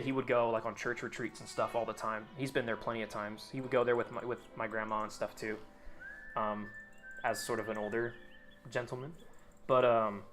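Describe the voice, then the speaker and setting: Young American man, mid pitch, loose rambling chat with fillers, faint music under. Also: a bit bright. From United States. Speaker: streamer. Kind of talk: casual chat while gaming.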